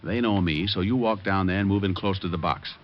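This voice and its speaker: A man's voice: low-pitched, a little fast, natural voice. A man playing a cool, wisecracking private detective, breezy and sardonic, in an old radio drama. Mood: urgent, scheming. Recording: muffled old radio recording.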